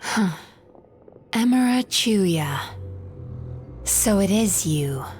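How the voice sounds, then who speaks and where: A young woman therapist in a video game, speaking slowly at a medium pitch. She is measured, analytical and quietly firm, with music underneath. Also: lively intonation.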